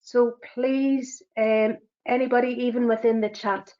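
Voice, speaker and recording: Fast-talking Northern Irish woman presenter. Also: on the high side, steady tone. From Northern Ireland. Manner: fast-talking, with frequent fillers. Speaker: sustainability assessor and webinar presenter. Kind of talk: presenting a business webinar. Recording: dull, lo-fi webinar audio.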